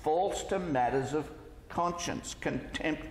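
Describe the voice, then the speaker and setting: Slow, deliberate preacher in echoey hall. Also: male voice, fairly high pitch. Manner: deliberate, emphatic, with rhetorical questions. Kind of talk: sermon. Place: church hall, at a lectern. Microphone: gooseneck lectern microphone. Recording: echoey church hall with long reverb.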